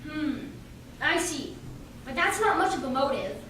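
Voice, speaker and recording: A woman's voice: sits high, animated, a little slow, a bit bright. A woman playing a polite, methodical male detective in an amateur radio play. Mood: inquiring. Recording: very echoey room, distant mic, faint hiss.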